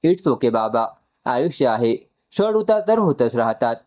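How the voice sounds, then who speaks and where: A man's voice: mid-range voice, sing-song, measured pace, muffled recording. A man voicing a subdued young woman consoling her parent in an audio storybook.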